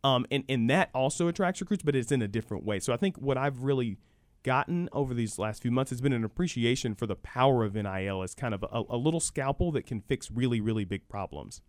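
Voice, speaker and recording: A man's voice: mid-range voice, expressive, quick pace, no room sound, studio quality. An American man explaining college sports conversationally as a guest expert in a radio interview, emphatic and fond of hypothetical examples.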